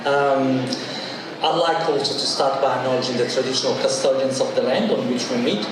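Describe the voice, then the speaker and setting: A man from Italy with an Italian accent, giving a formal welcome speech as the new chief executive, measured and hesitant. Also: medium pitch, crowd noise. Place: large function room at an evening event. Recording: echoey hall from far off, noisy.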